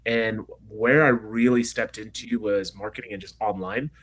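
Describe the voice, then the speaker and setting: A young man from Kenya with an American accent, telling his story quickly and energetically as a podcast guest. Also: lowish voice, a little hiss or hum. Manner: fast, energetic storytelling with frequent fillers. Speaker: guest entrepreneur. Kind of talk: casual interview chat.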